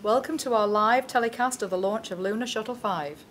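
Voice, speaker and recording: British female anchor, fifties, acted by a woman, formal spoof bulletin, fairly high voice. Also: fairly quick, a bit bright, close to an everyday voice. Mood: brightly formal. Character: British female newscaster in her early fifties. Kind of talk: parody newscast delivery.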